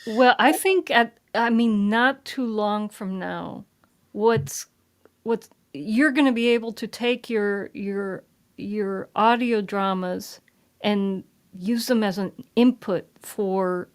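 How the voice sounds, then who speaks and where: A woman with a medium-pitched voice co-hosts an informal discussion. She talks haltingly, doubling back on words while she forms her thoughts. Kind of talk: casual discussion.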